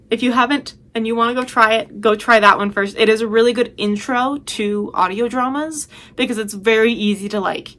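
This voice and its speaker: A woman's voice: medium pitch, fairly bright tone. A woman talking casually as a video host, giving chatty, emphatic and enthusiastic recommendations.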